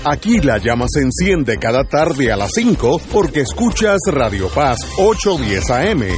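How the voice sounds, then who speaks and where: A man's voice: medium pitch, big pitch swings, a little slow, over music. A man reading a scripted radio station ID in a punchy announcer style.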